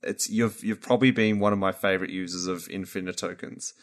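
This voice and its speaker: A man's voice: low-pitched, fairly even intonation, brisk. A man hosting a podcast, chatting casually and rambling through his points with many fillers and restarts. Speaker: podcast host. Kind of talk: casual chat.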